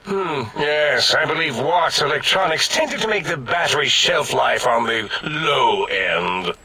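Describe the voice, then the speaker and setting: An actor playing an irascible, pompous robotic brain scientist in a video game, scolding through an electronic voice filter. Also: male voice, fairly high pitch, lively intonation, a little slow, sharp tone, heavily acted. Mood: pompous, puzzled. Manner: bombastic, exasperated, scolding. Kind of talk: video game voice acting, retro sci-fi comedy.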